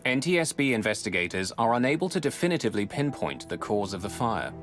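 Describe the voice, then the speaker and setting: A man giving scripted, dramatic documentary narration in a measured, grave way, close on a studio mic. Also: medium pitch, big pitch swings. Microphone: close studio voice-over mic. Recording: music bed under narration.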